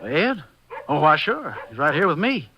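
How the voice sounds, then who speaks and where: An actor playing a friendly, chatty rural neighbor in a 1950s radio drama. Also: male voice, on the high side, very animated, moderate pace, slight background noise. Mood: easygoing. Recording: muffled old radio broadcast.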